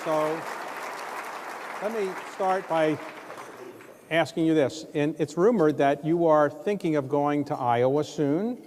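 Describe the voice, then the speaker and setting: A man's voice: fairly high pitch, takes it slowly, pauses often, darkish tone, some chatter behind, slight background noise. A man interviewing a guest on stage, pressing with pointed follow-up questions. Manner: probing, asks follow-up questions. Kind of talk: onstage interview. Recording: long hall reverb.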